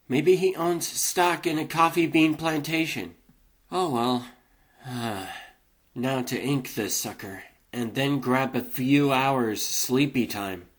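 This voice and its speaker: A man's voice: mid-range voice, fairly bright tone, voice pushed down. A man voicing a weary, good-natured, wisecracking rabbit comic artist who chatters wryly to himself in a cartoon comic dub. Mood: wry, muttering.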